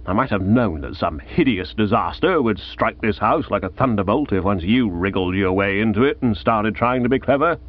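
A man's voice: lowish voice, expressive, normal speed, few pauses, lo-fi sound. A man voicing an exasperated middle-aged aunt who scolds her nephew theatrically. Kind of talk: audiobook character voice.

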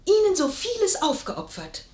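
A woman's voice: extremely high pitch, wildly swooping pitch, moderate pace, a little mellow, reverberant, heavily acted. An actress playing a saucy, evasive young lady's maid in a classical radio play, coy and theatrical. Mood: coy, hesitant. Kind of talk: classical German stage-play radio acting.

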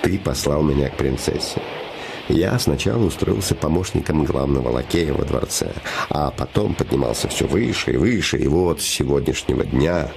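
Very deep, gravelly scheming shadow. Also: male voice, animated, moderate pace, background noise, exaggerated voice. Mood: confiding. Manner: insinuating, solemn, emphatic persuasion. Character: scholar's scheming shadow, male, posing as devoted friend. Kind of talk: theatrical fairy-tale play acting. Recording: music behind the voice.

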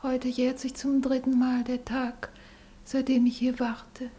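An actress in a radio play playing a mysterious, anguished lady, hushed, solemn and melodramatic. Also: high voice, completely flat delivery, measured pace. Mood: hushed, tormented. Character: mysterious, anguished lady awaiting a dreaded fate. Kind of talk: German radio play acting. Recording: faintly noisy radio play recording.

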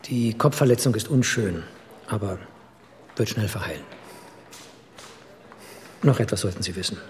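An actor playing a sober hospital doctor in a radio play, measured, frank and considerate. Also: male voice, on the low side, a little hiss or hum, lightly acted. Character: sober hospital doctor advising a patient's husband.

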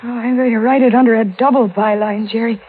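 A woman's voice: fairly high pitch, fairly even intonation, fast talker, husky. An actress playing a plucky, spirited young woman writer in 1940s radio drama. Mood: proud, playful. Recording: tinny, lo-fi old radio recording.

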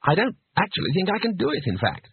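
A man's voice: sits high, huge pitch swings, fast talker, vocal fry, phone-quality sound, a little hiss or hum, voice pushed up, full character voice. A man voicing a naive, literal-minded, helpful fellow who speaks earnestly and bluntly in an audiobook fantasy story.

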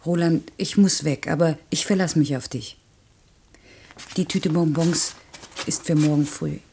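A middle-aged woman playing a harried, strict working mother in a naturalistic German radio play, with brisk, clipped delivery. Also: low-pitched, steady tone, warm tone, slightly rough, heavily acted. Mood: weary.

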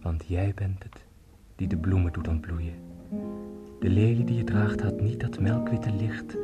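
Radio drama: aging half-mad guitarist, sly and tender, unhurried poetic murmur, guitar playing prominently. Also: male voice, sits low, little pitch movement. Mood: tender, wistful. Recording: loud guitar music behind.

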